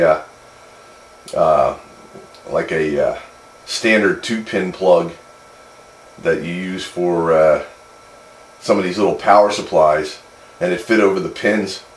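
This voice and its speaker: A man chatting casually and technically as a CB radio operator. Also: low-pitched. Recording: echoey room, clear background noise.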